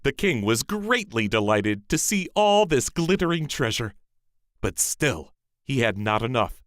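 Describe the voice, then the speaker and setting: Lively, expressive male storyteller. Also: medium pitch, measured pace, no echo, studio quality. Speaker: storyteller, narrator. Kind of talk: scripted fairy-tale narration.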